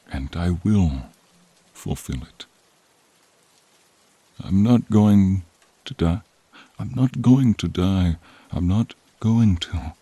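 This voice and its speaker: A man voicing a noble, friendly silver dragon in a fantasy roleplay, deep and breathy, with solemn, old-fashioned courtly speech addressed to the listener. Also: a little mellow, no room sound, exaggerated voice. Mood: weak, tender, then desperate, pleading. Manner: slow, archaic, solemn, addressing listener directly. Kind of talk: scripted fantasy ASMR roleplay. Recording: rain sound effects behind the voice.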